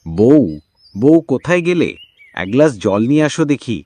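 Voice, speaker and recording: Troubled poor farmer for a cartoon male actor: dramatic, expressive pitch, dry studio sound. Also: moderate pace, no room sound. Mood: businesslike. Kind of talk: Bengali cartoon voice acting.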